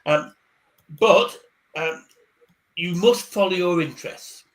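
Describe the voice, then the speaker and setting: A man on a video call, giving casual career-book advice, often stumbling over repeated words before rushing ahead. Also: high-pitched, rough recording. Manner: hesitant, repeats words, then speeds up. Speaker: career coach guest speaker. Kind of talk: casual chat on a live online panel.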